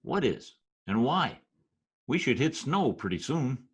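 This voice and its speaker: An actor playing a wry, streetwise New storyteller, narrating and talking in an old-time radio drama. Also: male voice, medium pitch, slow, heavily acted. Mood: sardonic. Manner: wry, deadpan. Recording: slightly rough remote video-call audio.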